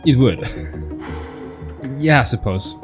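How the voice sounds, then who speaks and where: A man's voice: medium pitch, expressive, slow. A man giving casual, off-the-cuff commentary as he plays a game, thinking aloud and repeating himself. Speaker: gamer commentating on a game playthrough. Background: game music.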